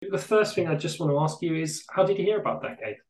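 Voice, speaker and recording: A man's voice: a little high, expressive, fast talker, a little mellow. A man hosting a casual podcast interview, chatty and self-deprecating. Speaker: podcast host. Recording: roomy echo.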